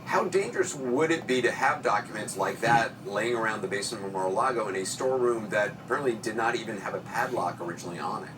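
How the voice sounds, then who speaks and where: A man hosting a news interview, putting questions to guests in halting phrases. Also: on the low side. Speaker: news show host. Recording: very noisy, distant-sounding audio with hum.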